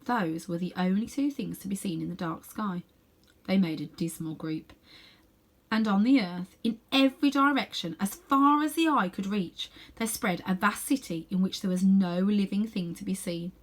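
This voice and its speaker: A woman narrating a children's story aloud in an expressive storytelling style. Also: medium pitch, normal speed. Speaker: storybook reader.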